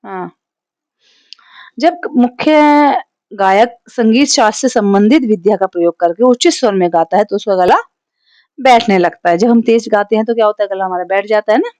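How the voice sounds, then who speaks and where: A woman teacher with a Hindi accent takes a poem apart line by line, patient and explanatory. She stops now and then to check that her class is following. Her voice sits at a middle pitch, and the recording is dry, with no room sound. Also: normal speed, darkish tone, dry recording. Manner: explanatory, frequent "theek hai" check-ins.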